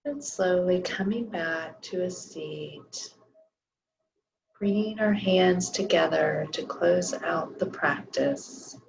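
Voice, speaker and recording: A woman guiding a restorative yoga class in a slow, soft, soothing way while losing her voice. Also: lowish voice, gravelly, slight background noise. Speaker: yoga instructor. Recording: slightly dull lo-fi audio.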